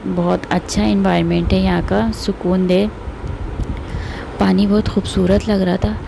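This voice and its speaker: Woman, chatty vlogger, gravelly, noisy phone audio. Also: medium pitch, flat delivery, a little slow. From Pakistan. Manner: chatty, recommending, pious phrases. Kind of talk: casual vlog narration.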